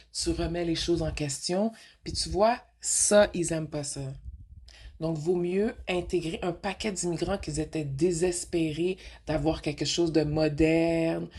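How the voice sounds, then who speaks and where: Québécois woman host, low husky voice, rambling frank monologue that switches into English. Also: warm tone. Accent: Québécois French. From Quebec. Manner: frank, rhetorical questions. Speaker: radio show host. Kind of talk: casual monologue.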